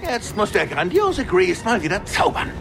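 An actor playing a cocky, grumbling, sarcastic pilot in a sci-fi audio drama, with a gravelly voice. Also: male voice, high voice, wildly swooping pitch, moderate pace, background noise, exaggerated voice. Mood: sarcastic disbelief. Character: cocky, grumbling pilot who boasts and nags a youngster. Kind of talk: German audio drama of a sci-fi video game. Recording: music behind the voice.

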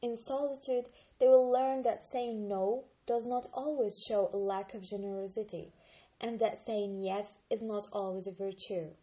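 A woman in her twenties from Algeria, an Arabic speaker, reading a book aloud slowly and carefully on her channel. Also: medium pitch, steady tone, dark tone. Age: 20s. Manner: slow, careful reading with occasional slips and restarts.

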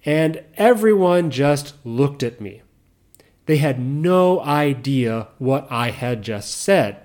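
A middle-aged man with a mixed American accent and faint Southern roots, hosting a podcast in slow, clear teaching talk for learners. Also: mid-range voice. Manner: calm, explains step by step, repeats key points. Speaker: podcast host.

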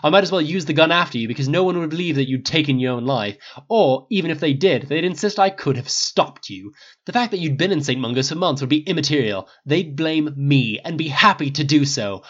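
A man's voice: fairly high pitch, lively intonation, brisk, a bit bright, lo-fi sound, voice pushed up. A man voicing a bitter, menacing older wizard with cutting, formal, sarcastic diction in a dramatic story reading. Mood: bitter, accusing.

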